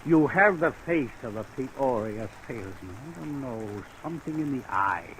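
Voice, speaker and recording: An actor playing a forgetful, teasing aging man in a 1940s radio drama, folksy and deadpan. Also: mid-range voice, moderate pace. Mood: droll, rambling. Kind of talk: 1940s radio character acting. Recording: faintly noisy vintage radio recording.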